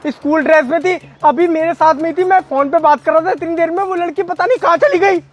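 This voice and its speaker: A man's voice: very high voice, fairly quick, muffled recording. A man acting a stern, suspicious older brother who is a policeman, in an amateur melodramatic skit. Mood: alarmed, urgent. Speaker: actor in a moral-story video.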